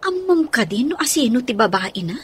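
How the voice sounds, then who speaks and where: A woman's voice: on the high side, very animated, brisk, a bit bright, breathy edge, heavily acted. A woman playing a friendly but scheming godmother in a radio drama, with an Ilocano accent. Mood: curious, attentive. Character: friendly godmother, scheming confidante of a wronged wife.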